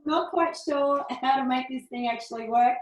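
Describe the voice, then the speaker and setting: A woman's voice: high voice, normal speed. A woman chatting casually as a guest on an echoey group video call.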